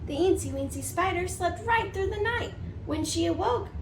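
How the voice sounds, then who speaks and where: Female preschool teacher, read-aloud storyteller: high, slightly creaky, sing-song, recorded at a distance in an echoey space. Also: normal speed. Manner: sing-song, expressive, rhythmic reading. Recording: distant mic, roomy echo, faint noise.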